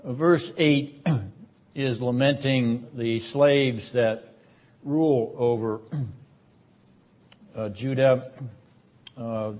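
A man lecturing on the Bible in a classroom, explaining didactically with rhetorical questions and frequent throat clearing. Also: medium pitch, fairly even intonation, drawn-out words, long pauses, a little mellow. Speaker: seminary lecturer. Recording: slightly dull classroom recording.

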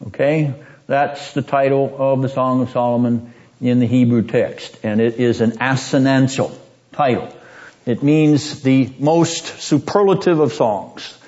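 A man teaching a seminary class on biblical poetry, deliberate and emphatic, repeating his points and often clearing his throat. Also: mid-range voice, a little mellow. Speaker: seminary lecturer. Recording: dull lo-fi classroom recording.

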